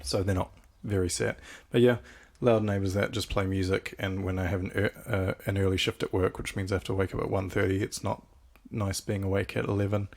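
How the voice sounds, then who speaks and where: A young man casually chatting on a podcast about songs he wrote, relaxed and quick to laugh at himself. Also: deep voice, gravelly. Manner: laid-back, laughing at his own jokes. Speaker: podcast co-host and songwriter. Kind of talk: casual chat about his own songs.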